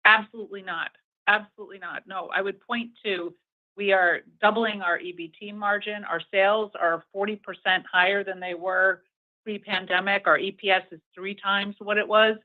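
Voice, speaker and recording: A man with a notably high voice speaks as a company executive on an earnings call. He answers with confidence and emphasis, and the sound is the thin band of a telephone connection. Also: fairly even intonation, moderate pace. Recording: narrowband conference phone line.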